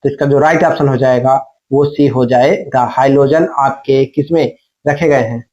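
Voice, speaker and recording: A man's voice: medium pitch, fairly even intonation, normal speed, a little mellow. A man teaching like an exam coach, going through questions and answers in an instructional way and repeating them with rhetorical prompts. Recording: dull lo-fi microphone sound.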